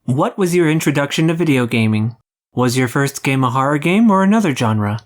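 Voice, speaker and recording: Mid-pitched podcast host asking interview questions. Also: animated, fairly quick.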